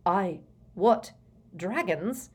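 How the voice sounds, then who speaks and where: A woman voicing a pompous, bewildered male Prime Minister in a storybook reading, halting and indignant. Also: a little high, sing-song, pitched above the performer's own voice, exaggerated voice.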